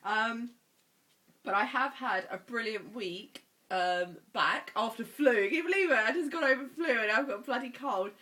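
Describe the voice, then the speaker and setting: A woman's voice: fairly high pitch, moderate pace. Chatty woman with a stuffy cold. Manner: chatty, animated. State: congested with a cold. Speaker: vlogger. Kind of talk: casual chat.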